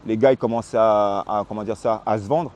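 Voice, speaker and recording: Dark-voiced man, hesitant and slangy. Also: fairly low pitch, fairly even intonation, a little fast. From Paris, France, born in Réunion. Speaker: interviewee, hip-hop graffiti artist. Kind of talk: casual interview chat.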